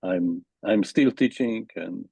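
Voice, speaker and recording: An older man with a very deep, gravelly, husky voice, talking very slowly and haltingly. He is a professor on a video call panel, and his audio is dry. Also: darkish tone, no room sound. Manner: halting, hesitant, many "uh"s.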